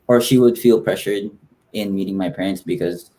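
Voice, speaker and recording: A man's voice: low-pitched, normal speed, dark tone. A man from the Philippines answering informally as a guest in a casual interview, feeling his way through his phrasing.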